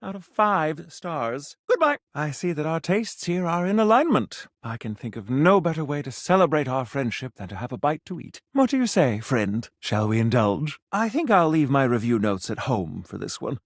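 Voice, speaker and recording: A man's voice: medium pitch, big pitch swings, normal speed, hardly any pauses, no room sound, studio quality. An actor playing a snobbish, theatrical food critic in a video game, a man with pompous, precise, refined diction. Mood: offended, scornful, then flirtatious, charmed. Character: flamboyant, snobbish, easily offended male food critic, grandly romantic. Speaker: restaurant critic.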